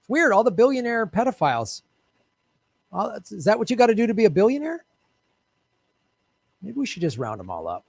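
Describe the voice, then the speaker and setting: Guy ranting on his politics show, loud and sweary, highish voice jumping all over. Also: wildly swooping pitch, brisk, gravelly, pauses often. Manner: talks straight to viewers, urging them to act. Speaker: host of a political commentary show. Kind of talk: fiery political commentary.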